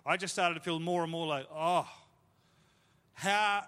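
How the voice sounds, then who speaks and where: A man's voice: fairly high pitch, fairly even intonation, measured pace, pushed and bright, clean tone. A man preaching a sermon, telling stories in a casual, self-deprecating way with many fillers. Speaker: pastor. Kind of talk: sermon storytelling. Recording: long hall reverb.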